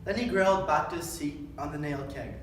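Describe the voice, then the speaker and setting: A young man narrates a story from a script. His fairly high voice is heard away from the mic. The room is very echoey. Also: a little fast. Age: young adult. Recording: very echoey room, distant mic, faint noise.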